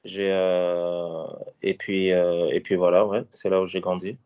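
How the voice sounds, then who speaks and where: Casting: very low-voiced man in his 30s from Paris, ex-fighter turned coach, halting and casual, dull lo-fi sound. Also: flat delivery, a little mellow. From France, Paris. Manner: hesitant, many fillers and restarts. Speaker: former MMA fighter and coach. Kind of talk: casual interview answers. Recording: dull lo-fi audio.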